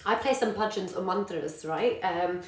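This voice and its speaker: A woman's voice: on the low side, moderate pace. Chatty woman in an echoey room. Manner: warm encouraging, many ums, greets viewers by name. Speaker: yoga teacher and parent. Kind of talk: casual live chat to viewers.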